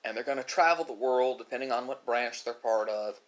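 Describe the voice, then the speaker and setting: A man with an average-pitched voice delivers an unscripted, reflective monologue to an online audience. He talks in a philosophical way, breaking phrases with pauses and correcting himself along the way. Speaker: ASMR video creator. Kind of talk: unscripted musing monologue to viewers during a mukbang.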